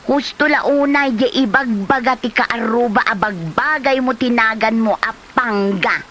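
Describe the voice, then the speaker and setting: A woman's voice: on the high side, unhurried, fairly bright tone, slight background noise. A woman playing a fussing, scolding mother of a grown daughter in an Ilocano comedy drama, with an Ilocano Filipino accent. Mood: fretful scolding. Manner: fussing, exclaiming, nagging. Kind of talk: Ilocano comedy radio-style drama acting.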